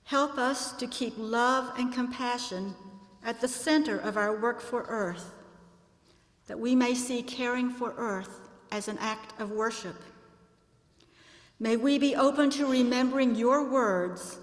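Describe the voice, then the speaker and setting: A woman leading a formal spoken prayer in a solemn, measured, reverent manner in a large hall. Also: on the high side. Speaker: prayer leader. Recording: echoey hall with long reverb.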